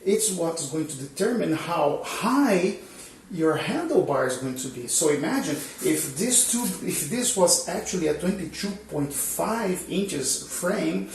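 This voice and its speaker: A man's voice: a little high, lively intonation, a little slow, vocal fry, slight background noise. A man whose first language is Portuguese, hosting his channel and explaining bike frame measurement in a casual, hesitant way, with many fillers and restarts. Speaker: channel host. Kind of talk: casual instructional talk. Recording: roomy echo, mic at a distance.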